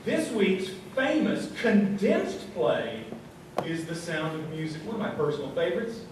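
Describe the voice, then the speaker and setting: A man's voice: sits high, big pitch swings, a little slow. A man playing a folksy small-town host of a live radio variety comedy show, with showman's patter. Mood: folksy announcing. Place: live show before audience in hall. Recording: echoey hall, distant, noisy live stage.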